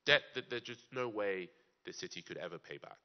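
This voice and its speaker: A man speaking at a conference panel, deliberate and posing rhetorical questions. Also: on the low side, animated. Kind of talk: public talk at a conference. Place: conference in Germany. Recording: dull lo-fi sound.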